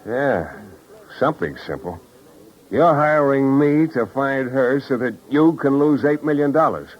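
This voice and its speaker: An actor playing a wry, impatient private detective in a 1950s radio drama, clipped and sardonic. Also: male voice, medium pitch, lively intonation, a little slow, lightly acted. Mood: suspicious, needling. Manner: clipped, sardonic, world-weary. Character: wry, impatient, hard-boiled red-headed Irish private detective man. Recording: muffled old radio recording, music behind.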